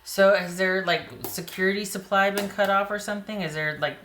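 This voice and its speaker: A woman reading tarot cards to camera in a casual way, a little hoarse, thinking aloud as she pulls the cards. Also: mid-range voice, steady tone, brisk, a bit bright, reverberant, a little hiss or hum. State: slightly hoarse. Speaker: tarot reader.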